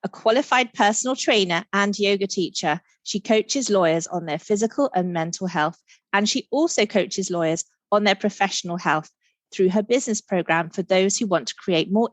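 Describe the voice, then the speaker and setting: A woman's voice: mid-range voice, no echo. A woman hosting an interview podcast, reading a guest introduction and asking short, friendly questions. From Singapore. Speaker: podcast host.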